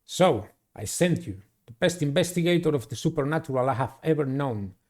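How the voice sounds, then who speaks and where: A man voicing a grave, regretful vampire warlord in a gothic game, solemn and measured. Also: fairly high pitch, animated, darkish tone. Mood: solemn confession.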